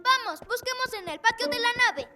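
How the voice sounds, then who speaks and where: A woman's voice: extremely high pitch, lively intonation, moderate pace, loud music behind the voice. A woman voicing a confident, eager little boy rabbit hero in a Latin American Spanish children's cartoon dub. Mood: excited, confident. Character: plucky little boy rabbit, confident leader playing superhero. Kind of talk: animated children's cartoon dubbing.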